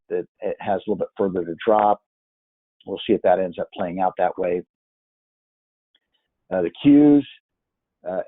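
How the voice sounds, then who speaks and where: A hoarse man walking through market charts on screen, hesitant and full of fillers and restarts. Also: on the low side, no room sound, narrow, tinny audio. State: hoarse from yelling. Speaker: market analyst. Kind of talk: screen-share chart walkthrough.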